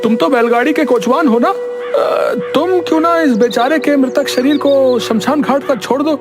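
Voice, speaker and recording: Woman putting on a high, pleading villager. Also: moderate pace, over music, lightly acted. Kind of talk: acted cartoon dialogue.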